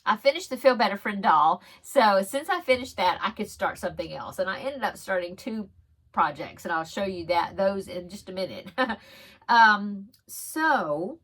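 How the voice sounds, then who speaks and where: A woman's voice: medium pitch, animated, moderate pace, fairly bright tone. A woman hosting a crochet podcast, chatting casually and giggling between rambling asides, her nose stuffed up on one side. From Texas. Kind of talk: casual show-and-tell chat.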